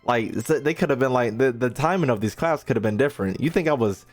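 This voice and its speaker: A man's voice: mid-range voice, fast talker. A man streaming a game with casual, slangy reaction commentary, swearing freely and heckling in mock outrage. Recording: faint game music behind the voice.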